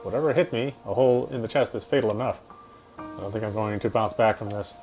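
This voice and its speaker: A man's voice: fairly low pitch, quick pace, a little mellow, faint music behind. A man reading first-person story narration aloud in a dramatic way as he plays a visual novel.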